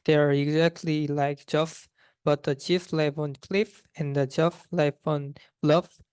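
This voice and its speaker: A young adult reads children's verse aloud with a smooth, clean voice. The pace is slow and the recording is dry. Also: medium pitch, darkish tone, no echo. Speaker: student reader. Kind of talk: reading a rhyming children's book aloud.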